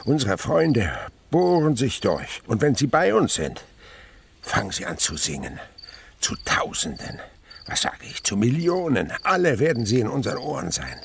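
A man's voice: medium pitch, huge pitch swings, moderate pace, creaky, husky, exaggerated voice. An actor playing an elderly insect researcher, an eager and wistful uncle, in a German radio drama, dramatic and savouring his words. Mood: awed, excited. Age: older.

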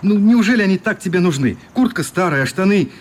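A man's voice: high-pitched, quick pace. A man playing a kindly, rueful newspaper correspondent in a radio play, with a companionable manner. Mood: consoling. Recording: light steady background noise.

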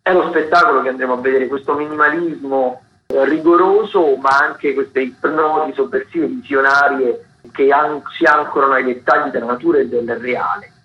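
A man's voice: medium pitch, moderate pace. Echoey lo-fi radio sound, man, theatre listings host, informal, hesitant, self-correcting, chatty asides. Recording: roomy, dull lo-fi radio broadcast sound.

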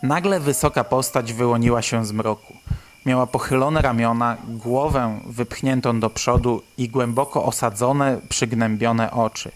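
A man's voice: mid-range voice, unhurried, a little hiss or hum. A man reading scripted literary narration for a radio drama.

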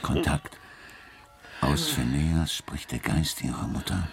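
An actor playing a solemn gentleman guiding a seance in a radio drama, giving hushed-room instructions with gravity. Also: a bass voice, huge pitch swings, slow, vocal fry, soft background music, exaggerated voice. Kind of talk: German radio drama acting.

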